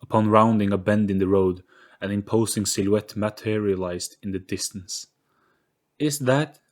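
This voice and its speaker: A man's voice: lowish voice, flat delivery, moderate pace, a little mellow. A man giving a scripted, dramatic narration of an audio story.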